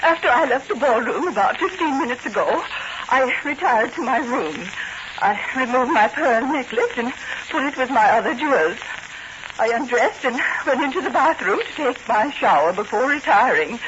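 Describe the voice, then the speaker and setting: An actress playing a wealthy, distraught hotel guest in theatrical 1940s radio style, on a noisy old recording. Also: female voice, lively intonation, moderate pace, lo-fi sound. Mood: upset. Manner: theatrical, shrill wailing.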